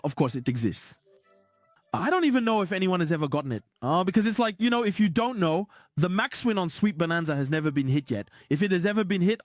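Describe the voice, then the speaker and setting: A hoarse man giving excited, repetitive casino slot commentary as a streamer. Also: a little high, no room sound.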